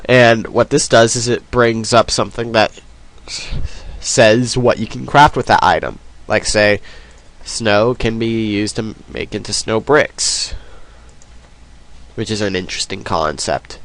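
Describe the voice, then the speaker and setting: A man's voice: fairly low pitch, measured pace, fairly bright tone. A man with a cold, sniffling as he rambles through casual let's play commentary. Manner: rambling, self-deprecating, many ums.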